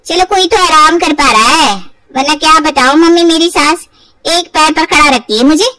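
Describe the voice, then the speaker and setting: A woman's voice: high voice, normal speed, distant mic, a loud crowd, close to an everyday voice. A woman voicing an accusing, sarcastic, overdramatic married daughter in an acted storybook. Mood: sarcastic, complaining. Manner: sharp-tongued, dramatic, sarcastic. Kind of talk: acted Hindi audio storybook.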